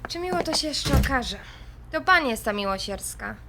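An actress playing an impulsive, defiant adult daughter in an audio drama, sarcastic and confrontational. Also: female voice, on the high side, expressive, moderate pace, heavy background noise. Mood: sarcastic, provocative.

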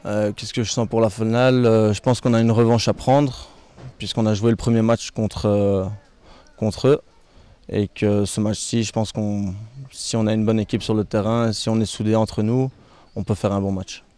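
Low, mellow young man, hesitant. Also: flat delivery, normal speed, clean tone. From Belgium. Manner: hesitant, frequent fillers, earnest. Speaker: footballer. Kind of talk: post-match style interview. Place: outdoors among trees. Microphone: handheld microphone held by interviewer.

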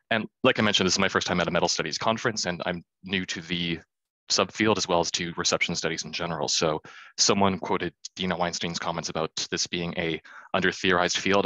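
A man in his thirties giving an academic conference talk over a video call, quick and self-deprecating with many fillers. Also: low voice, sharp tone, no room sound, rough recording. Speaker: conference speaker. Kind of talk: academic presentation.

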